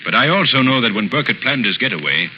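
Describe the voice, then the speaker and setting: An actor playing an assured, thoughtful gentleman sleuth in 1930s radio drama style. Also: medium pitch, big pitch swings, quick pace, close to an everyday voice. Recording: muffled, noisy 1930s radio recording.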